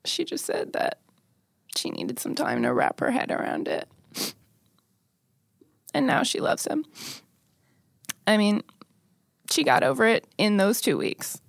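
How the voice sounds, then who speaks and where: A woman guest shares personal memories in a casual, conversational way. Her voice is mid-pitched with noticeable vocal fry, recorded very dry in a studio. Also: dry recording. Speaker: interview guest.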